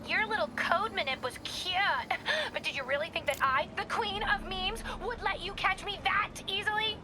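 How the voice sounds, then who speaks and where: Shrill, gleeful prankster villainess. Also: female voice, normal speed, noisy background. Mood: smug taunting. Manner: spells out internet slang, mocking, theatrical. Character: gleeful, taunting young female livestream prankster villain.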